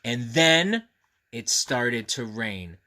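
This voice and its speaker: A man reading a storybook aloud to children, expressive and earnest, often speaking directly to his young listeners. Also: medium pitch, very slow.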